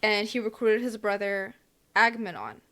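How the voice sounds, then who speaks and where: A woman's voice: mid-range voice, moderate pace, pushed and bright. A woman co-hosting a podcast, casually retelling an old story with lots of hedges and fillers. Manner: rambling, hedging retelling with fillers. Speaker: podcast co-host. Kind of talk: casual chat.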